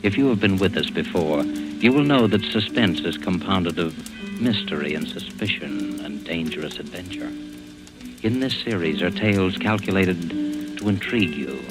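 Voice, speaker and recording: Deep-voiced, ominous thriller host. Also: male voice, lively intonation, loud music behind the voice, rough recording. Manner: measured, theatrical, ominous. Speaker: narrator and host. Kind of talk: 1940s radio announcing, scripted narration.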